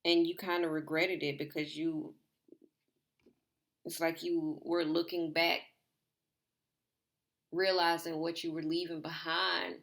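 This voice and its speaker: A woman giving a rambling, casual tarot reading to her viewers, her voice slightly hoarse. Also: sits low, little pitch movement, takes it slowly, pauses often, a bit bright. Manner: rambling, repeats herself, filler "like". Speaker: tarot reader.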